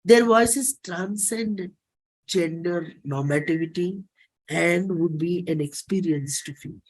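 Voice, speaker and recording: A performer with an Indian accent giving a dramatic reading of a personal play script, deliberate, with pauses and repeated phrases. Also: medium pitch. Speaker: performer and singer.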